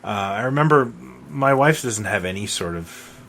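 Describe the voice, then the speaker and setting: A man's voice: lowish voice, animated, moderate pace, a bit bright. A middle-aged man co-hosting a podcast in a casual, conversational way. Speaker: podcast co-host. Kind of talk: casual chat. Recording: faint background noise.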